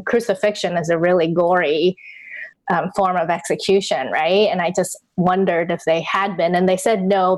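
A middle-aged woman from Taiwan, whose first language is Chinese, speaking as an interview guest in a reflective, searching way with many pauses and restarts. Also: fairly low pitch. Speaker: guest, author and podcaster. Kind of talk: conversational interview.

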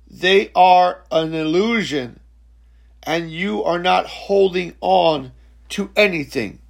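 A man in his forties giving a slow, reflective spiritual teaching talk, halting and repeating words, often checking in with "okay?". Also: high voice, vocal fry. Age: 40s. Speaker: spiritual speaker.

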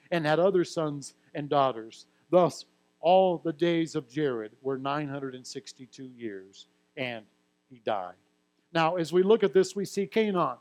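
A man with a somewhat high-pitched voice gives a teaching sermon. He reads long lists of names and ages from scripture, then explains them to the congregation in a plain, friendly way with occasional light humor. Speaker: pastor.

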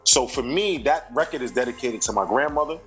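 A man from Brooklyn with a New York accent, in his thirties, speaking at an ordinary middle pitch. He talks in a relaxed, reflective way, heavy with slang and little fillers. Faint music plays in the background. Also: fairly quick, rough recording. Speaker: rapper and singer, guest. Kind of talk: casual interview chat.

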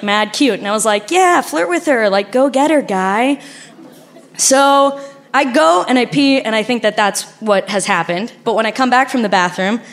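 Young argentinian woman telling a story onstage, bit high voice, animated and cursing. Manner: animated, laughing, frank and profane. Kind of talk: live storytelling. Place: stage before a live audience.

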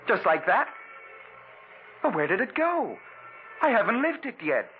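A man's voice: high-pitched, big pitch swings, a little slow, soft background music. An actor playing an agitated, suspicious man with amnesia in a 1940s radio thriller. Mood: disbelieving. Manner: agitated, questioning, disbelieving. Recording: muffled, noisy old radio recording.

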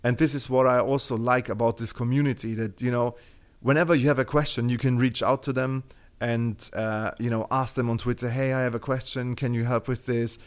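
A man's voice: medium pitch, steady tone, fairly quick, smooth. A man from Germany with a German accent, speaking as a podcast guest in a casual interview, rambling with many fillers and restarts.

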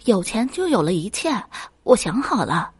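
A woman voicing an earnest, lovestruck young daughter in a folk tale, with an expressive, pleading delivery. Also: medium pitch, moderate pace, breathy edge, dry recording, studio quality, voice pushed up. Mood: stubborn, resolute.

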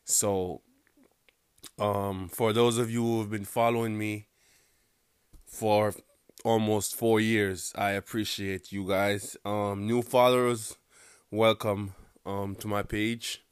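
A man's voice: on the low side, little pitch movement, slow pace, long pauses, clean tone, dry recording, studio quality. A young man from Jamaica with a Jamaican accent, hosting a casual solo podcast with rambling, profane talk full of "um"s. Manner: rambling, profane, laughs often, many "um"s. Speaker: podcast host.

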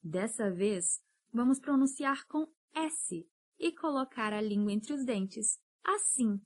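A woman teaching English pronunciation on a podcast, patient and encouraging as she drills words slowly. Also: a little high, expressive, darkish tone.

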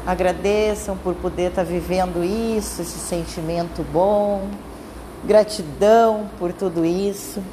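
A woman with a Brazilian accent leading a guided meditation in a calm, soothing, instructing manner with drawn-out phrases. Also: on the low side, noisy background.

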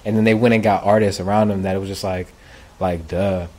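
A man's voice: deep voice, steady tone, brisk, a little mellow. A man hosting a casual music podcast, talking in a loose, laid-back way with plenty of filler words. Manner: laid-back, lots of "like" and "I feel like". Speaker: podcast host.

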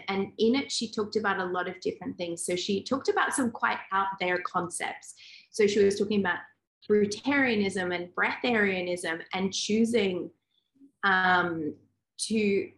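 A woman's voice: medium pitch, a bit bright. A woman in her forties speaking as an interview guest, reflective and loose, with frequent restarts and fillers. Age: 40s. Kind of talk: relaxed interview talk. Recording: roomy echo.

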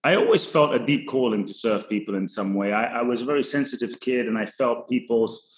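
A man's voice: medium pitch, fairly quick. Earnest guy from ghana raised in london, podcast guest, roomy echoey sound. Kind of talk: reflective storytelling. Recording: roomy echo.

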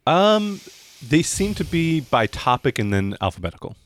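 A man's voice: a little high, very animated, normal speed. A man narrating a casual, improvised tabletop roleplaying game as game master.

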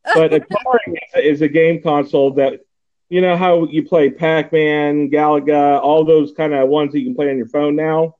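A man's voice: fairly high pitch, flat delivery, normal speed. A man in his forties from Texas, hosting a casual podcast chat and rambling with many fillers and restarts. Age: 40s. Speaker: podcast host. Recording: tinny phone-like line.

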